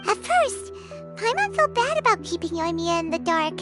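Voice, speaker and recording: Tiny chirpy sprite girl, squeaky and very high, wildly bouncy, game music under it. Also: big pitch swings, normal speed. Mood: worried. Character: tiny floating female companion sprite, chirpy. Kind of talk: video game voice acting.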